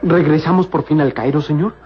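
Man playing a higher-voiced loyal young companion. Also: brisk, natural voice. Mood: earnest, respectful. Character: loyal, devout young servant companion, formerly enslaved. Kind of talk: old radio serial acting. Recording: muffled, noisy old radio serial recording.

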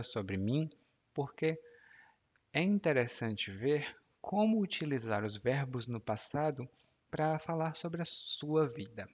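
A man, in his thirties, explains clearly and slowly like a patient teacher and laughs easily. His voice sits at a medium pitch. The recording sounds somewhat dull and muffled. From Ceará, Brazil. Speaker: Portuguese teacher. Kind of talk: podcast language lesson.

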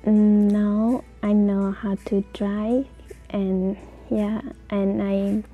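A young woman from Laos telling her own story as an interview guest, in halting, simple phrasing. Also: mid-range voice, level tone, slightly rough, narrow, tinny audio, faint music behind. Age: young adult.

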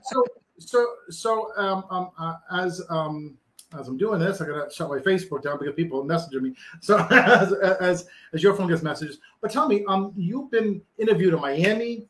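A male podcast host with a notably high voice. He talks loosely, stumbling through ums and restarted phrases as he chats. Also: normal speed, fairly bright tone. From Rhode Island. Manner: rambling, many ums and restarts. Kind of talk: casual interview chat.

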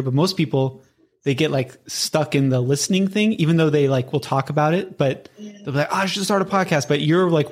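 A man with an American accent, interviewing casually in an easygoing, informal way with loose, hesitant phrasing. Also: mid-range voice. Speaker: podcast coach, interviewer.

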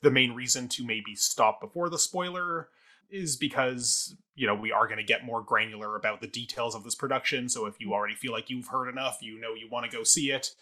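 A man with a bright, forceful voice of middling pitch. He speaks as a talk show co-host in casual conversation. His manner is measured and explanatory. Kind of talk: casual review chat.

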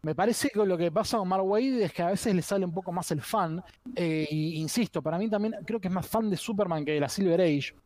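High-pitched man, opinionated, digressive, informal critical commentary, podcast panelist. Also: brisk, darkish tone.

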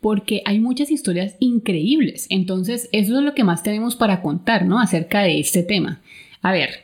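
A woman's voice: medium pitch, animated, moderate pace. A woman with a Colombian Spanish accent hosting a travel podcast in a partly scripted, conversational way. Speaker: podcast host.

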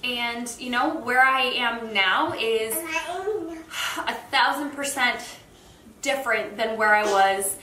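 A woman's voice: on the high side, slow, sharp tone, slight background noise. A young woman chatting casually in a vlog, sounding nasal from a cold, recorded on a camera without a separate microphone. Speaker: vlogger. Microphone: built-in camera mic. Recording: echoey tinny camera audio.

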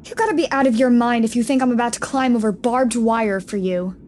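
A woman's voice: fairly high pitch, brisk, darkish tone, a little hiss or hum, natural voice. A woman playing a sensible, exasperated teenage girl in an audio drama, snarky and scolding as a reluctant sidekick.

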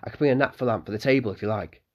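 A man's voice: mid-range voice, very fast, muffled recording, lightly acted. A man voicing an eager, polite eleven-year-old innkeeper's boy who keeps calling the guests sir.

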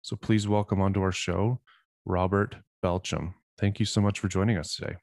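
A man's voice: sits low, steady tone, normal speed, gravelly, darkish tone, dry recording, studio quality. A man co-hosting a podcast, giving an upbeat welcome and asking a guest easygoing questions. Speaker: podcast co-host. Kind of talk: podcast intro and interview.

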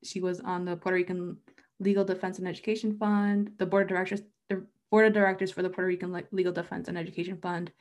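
A woman's voice: fairly low pitch, level tone, quick pace, darkish tone. A woman co-hosting a podcast in casual chat, chatty and full of "like" and "um," sharing facts. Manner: chatty, fills with "like" and "um", reads out facts. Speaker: podcast co-host.

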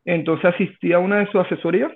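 A Venezuelan man in his thirties, a guest in an interview, answering in a halting way with many restarts. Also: high-pitched, fairly even intonation. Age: 30s. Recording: tinny phone line.

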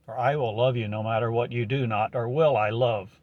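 A man delivers a prophetic monologue in a slow, halting, solemn cadence, full of archaic turns of phrase. His voice sits at an ordinary pitch and is recorded close and dry, with no room around it. The recording is dull and lo-fi. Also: fairly even intonation, dry recording.

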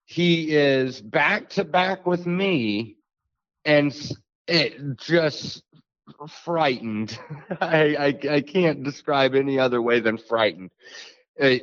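Man, podcast guest, deep and slow, halting storyteller; dull, dry lo-fi recording. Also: no echo. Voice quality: deep bassy voice. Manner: halting, many uh fillers and restarts. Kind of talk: storytelling an encounter.

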